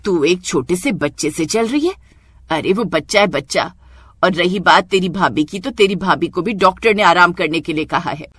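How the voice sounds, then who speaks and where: Woman acting a middle-aged mother, stern, reproachful, cartoon family drama, lower-pitched, close dry sound. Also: moderate pace, fairly bright tone, breathy edge, no echo. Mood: scolding. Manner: scolding, reproachful. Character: stern middle-aged Indian mother scolding her daughter.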